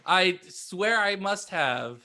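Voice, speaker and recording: A woman's voice: fairly low pitch, expressive, slow pace, over a noisy crowd. A woman co-hosting a podcast in casual, teasing banter with the others. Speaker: podcast co-host.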